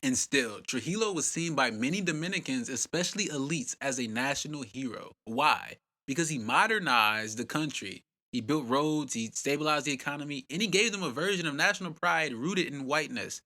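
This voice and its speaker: Bright, punchy male documentary narrator. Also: a little high, expressive, moderate pace, hardly any pauses. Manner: punchy, dramatic, rhetorical questions. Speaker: host and narrator.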